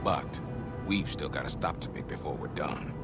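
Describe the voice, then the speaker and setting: A man's voice: a bass voice, normal speed, music in the background. A man playing a cocky, loyal veteran sergeant major in a game, with brash, wry military banter. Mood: confident. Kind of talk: cinematic game dialogue.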